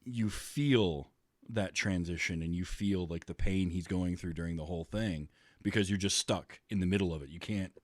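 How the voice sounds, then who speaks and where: A man's voice: deep voice, moderate pace, no echo, clean studio sound. A man talking casually about films as a podcast host. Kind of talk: casual movie discussion.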